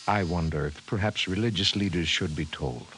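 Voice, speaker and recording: An actor playing a grave, precise professor in 1950s radio drama style, formal and measured. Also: male voice, low voice, sharp tone. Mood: solemn. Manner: measured, formal, emphatic delivery. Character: grave, precise male scientist, a government professor. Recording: hissy 1950s radio recording.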